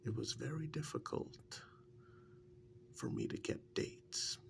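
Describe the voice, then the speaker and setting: A man softly playing an embittered yet devoted former captive in an ASMR role-play, half-whispering in a rambling, confiding way. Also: slow. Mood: bitter, aggrieved. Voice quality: soft, breathy, often half-whispered. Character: embittered former kidnap victim, now devoted male partner. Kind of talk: soft-spoken ASMR role-play monologue. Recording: faint low rumbling background noise.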